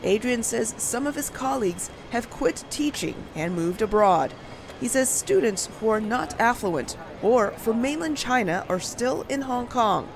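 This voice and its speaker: A woman reporter reads a news script at a middle pitch, her delivery measured and even. Faint street noise sits faintly beneath the voice.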